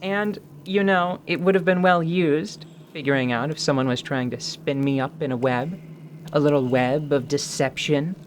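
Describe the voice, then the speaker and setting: An actor plays a blunt, idealistic adventurer in fantasy radio theatre. The voice sits at a middling pitch, and the delivery is wry and sardonic, like someone confiding hard-won convictions. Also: a little hiss or hum, lightly acted. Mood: teasing. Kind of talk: radio-theatre fantasy role-play acting.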